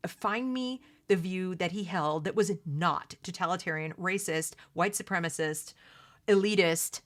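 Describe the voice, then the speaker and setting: A woman's voice: lowish voice, a little slow. A middle-aged American woman giving opinionated, unscripted commentary while reading aloud from a book, with conversational asides and rhetorical questions. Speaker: host of a read-along commentary video.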